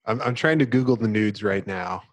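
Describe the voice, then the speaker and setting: A man's voice: on the low side, normal speed, dry recording. A man talking casually as a co-host on a podcast, explaining things to his friends. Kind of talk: casual chat.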